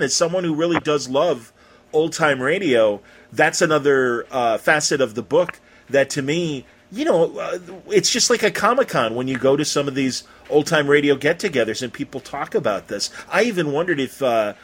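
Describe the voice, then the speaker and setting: A man's voice: fairly high pitch, animated, normal speed, a bit bright, a little hiss or hum. An American man hosting a casual interview, talking in a rambling, effusive way. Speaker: podcast interviewer.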